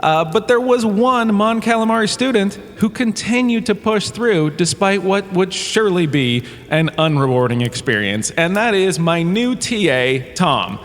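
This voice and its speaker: A man's voice: sits high, expressive, a little slow, hardly any pauses. A man playing a washed-up, self-important holodrama star who gives rambling, breezy, self-excusing comedic lectures in an audio drama. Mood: brisk, dismissive. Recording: cavernous reverb with faint background noise.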